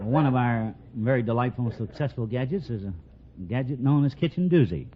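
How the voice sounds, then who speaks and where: A man, a quiz contestant, answering politely with earnest sales-pitch explanations. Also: brisk. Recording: muffled, hissy 1940s radio recording.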